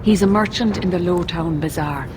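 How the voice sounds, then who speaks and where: A woman's voice: on the low side, moderate pace, natural voice. Woman acting a worried, pleading mother, noisy game audio. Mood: weary, hopeful. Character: worried, pleading mother of a runaway mage boy.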